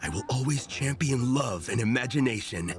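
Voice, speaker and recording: A man's voice: mid-range voice, animated, moderate pace, lightly acted. An actor voicing a theatrical young male fan who speaks in grandiose, declamatory quotes. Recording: game cutscene with music behind.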